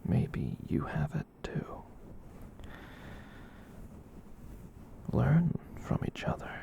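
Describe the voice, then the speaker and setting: A performer voicing a gentle, kind giant catboy in a soft breathy whisper, rambling reassuringly in an ASMR roleplay. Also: mid-range voice, huge pitch swings, heavily acted. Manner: rambling, reassuring, slow with long pauses.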